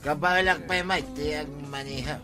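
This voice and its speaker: A man's voice: a little high, steady tone, moderate pace, music in the background, lightly acted. A man with an Ilocano accent playing an easygoing, scheming tricycle driver and chummy drinking buddy in a comedy drama. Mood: contented. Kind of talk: comedy radio-style drama acting.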